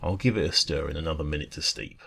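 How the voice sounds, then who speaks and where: A man plays a friendly male dragon who keeps a little diner, in a deep voice with plenty of gravel. He speaks in a caring, conversational ASMR style, soothing and encouraging. Faint noise and café ambience sit behind the voice. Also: quick pace. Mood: cheerful, chatty. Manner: gentle, reassuring, conversational. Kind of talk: ASMR roleplay.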